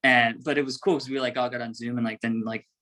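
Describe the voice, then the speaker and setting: American guy in a band talking real fast, mid voice, rambly casual chat. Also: medium pitch, fairly even intonation, pushed and bright. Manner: rambling, peppered with "like". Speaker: band member, interview guest.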